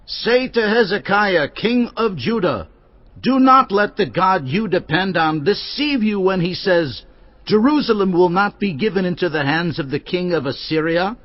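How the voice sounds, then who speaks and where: High-pitched, boastful Assyrian envoy. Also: male voice, lively intonation, normal speed, dull audio. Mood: boastful threat. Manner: declamatory, taunting. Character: menacing, boastful Assyrian envoy delivering a king's threat. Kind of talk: dramatised audio Bible acting.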